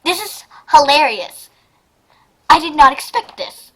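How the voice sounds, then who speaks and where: A woman's voice: sits high, expressive, unhurried, very noisy. A woman casually reading fan fiction aloud and reacting to it, with a giggly, exclamatory manner and frequent asides. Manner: giggly, exclamatory, often breaking off mid-sentence to comment.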